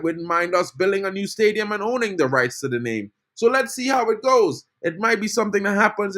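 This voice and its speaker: A man's voice: fairly high pitch, a bit bright. A man from Trinidad, hosting a casual live-stream football fan update, chatty and greeting his viewers. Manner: chatty, greets chat viewers, says bro a lot. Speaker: football fan channel host.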